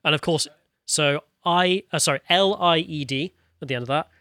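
A man with a bright, somewhat high voice co-hosts a podcast in easy, casual chat. He laughs readily and reads viewer comments aloud. The sound is close and dry, with no room around it. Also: moderate pace, no echo. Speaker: podcast co-host.

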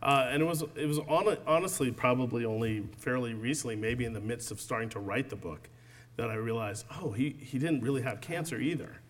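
A man, an author, reading his book aloud to an audience in a measured, deliberate way, then answering questions. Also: medium pitch. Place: event space with an audience.

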